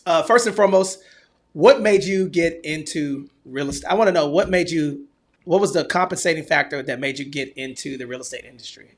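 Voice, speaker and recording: A man's voice: fairly high pitch, a little fast. A man hosting a podcast, interviewing in an informal, jovial, bantering way. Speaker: podcast host.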